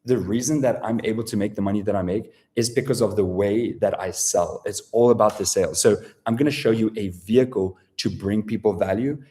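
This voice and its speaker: Profane South African man pitching live. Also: mellow. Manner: casual, profane, filler-heavy sales talk. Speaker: webinar presenter. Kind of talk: live sales webinar pitch.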